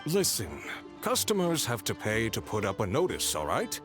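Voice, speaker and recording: An actor playing a flustered, officious male clerk in an animated video game. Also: mid-range voice, normal speed. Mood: defensive. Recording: game music behind.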